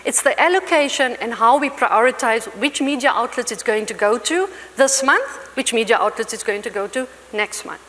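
A woman's voice: on the high side, lively intonation, moderate pace, few pauses, a bit bright. A woman on a conference panel, speaking earnestly and building her points in lists. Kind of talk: panel discussion. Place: large conference hall stage. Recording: long hall reverb, faint noise.

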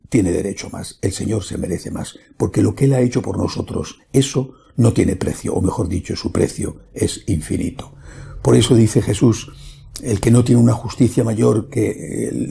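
A man's voice: on the low side, vocal fry, mellow, husky. A middle-aged man, a priest, preaching an earnest homily in a church, repeating phrases and building emphasis. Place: church sanctuary.

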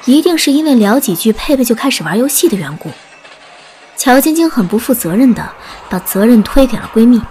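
A woman's voice: on the high side, very animated, brisk, darkish tone, slight background noise. A woman reading a scripted audiobook story as its narrator. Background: background music.

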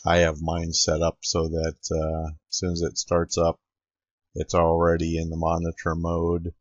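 A man giving an unscripted screen-recording tutorial as an amateur radio operator, explaining step by step in a halting way. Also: low-pitched, completely flat delivery, no echo. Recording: dull, lo-fi home computer recording.

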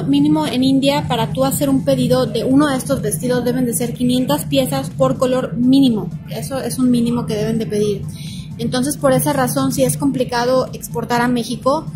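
A Mexican woman in her thirties, vlogging and talking straight to her viewers about business, filmed on a handheld phone. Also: high voice. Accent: Mexican Spanish. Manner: chatty, explanatory, addresses viewers directly. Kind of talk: casual travel vlog narration. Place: parked car, then indoor expo hall. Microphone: phone camera at arm's length.

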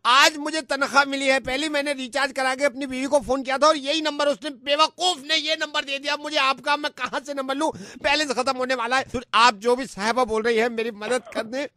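A man's voice: very high-pitched, little pitch movement, fairly quick, hardly any pauses, sharp tone, no echo, studio quality. A man voicing an insistent, sentimental, homesick migrant worker in a prank phone call on radio. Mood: pleading. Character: pleading, homesick male migrant labourer phoning his wife.

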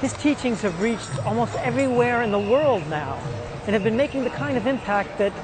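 High-voiced man presenting a documentary. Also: expressive, brisk, music in the background. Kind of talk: documentary narration. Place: outdoor street festival.

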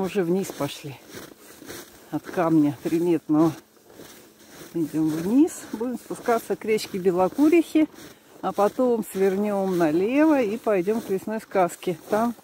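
A woman narrating a walk casually in short, unhurried, musing phrases, recorded outdoors on a handheld device. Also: medium pitch, dark tone, a little hiss or hum. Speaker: hiking vlogger. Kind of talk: casual narration while walking. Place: outdoors on a snowy forest trail.

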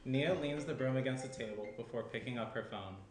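A man's voice: mid-range voice, fairly quick. Young man narrating in a roomy space. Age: young adult. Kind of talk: scripted screenplay narration. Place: small indoor room at a round table. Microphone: shared desk microphone. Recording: roomy echo, faint noise.

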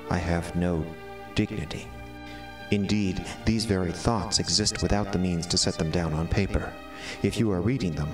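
An actor playing an eloquent, melodramatic cat who sees himself as a prisoner, speaking in formal, florid, theatrical monologue. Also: male voice, low voice, distant mic, close to an everyday voice. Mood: grave, bitter. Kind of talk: dramatic first-person audio drama monologue. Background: music.

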